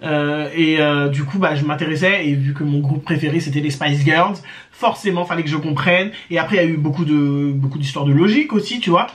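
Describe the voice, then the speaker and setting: A woman's voice: deep voice, fairly quick. Woman, casual storyteller to camera; hesitant, filler-heavy, conversational. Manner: hesitant, many fillers and restarts; chatty, addresses viewers directly. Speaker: storytime vlogger.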